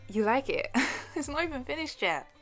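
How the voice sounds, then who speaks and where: A woman's voice: sits high, sing-song, a bit bright, faint music behind, voice pushed up, full character voice. Woman acting a flustered, giggly artsy college girl. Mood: shy, teasing. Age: young adult. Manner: halting, giggly, stammering. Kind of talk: intimate audio roleplay acting.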